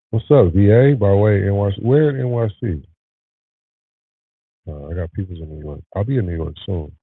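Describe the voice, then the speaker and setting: Low, gravelly male host, congested and tired, relaxed livestream chat, tinny phone-line sound. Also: no room sound. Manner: relaxed, talks to live viewers. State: nasally congested. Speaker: podcast host. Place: home studio.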